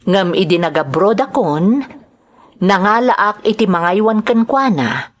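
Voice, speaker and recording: A woman playing an earnest, dutiful carer in a family audio drama, speaking with measured emotion. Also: medium pitch, animated, close to an everyday voice. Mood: earnest, sorrowful. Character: earnest, dutiful woman explaining her care for a mother. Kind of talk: Ilocano audio drama acting.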